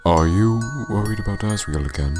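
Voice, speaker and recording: A man playing a calm, reassuring fatherly monster king in an amateur comic dub, measured and soothing. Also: fairly low pitch, faint music behind, natural voice.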